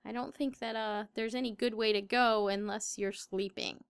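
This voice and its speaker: A woman's voice: fairly high pitch, steady tone, normal speed, vocal fry, clean tone, no echo. A very young-sounding woman with an American accent, answering interview questions as a voice actor. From Southern United States.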